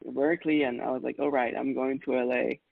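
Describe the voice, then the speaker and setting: Gravelly Ecuadorian-born man on a tinny line. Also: mid-range voice, fairly quick, no room sound. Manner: relaxed, chatty, laughs often, many fillers. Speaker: guest, music producer. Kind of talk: casual interview answers. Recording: dry tinny phone-like sound.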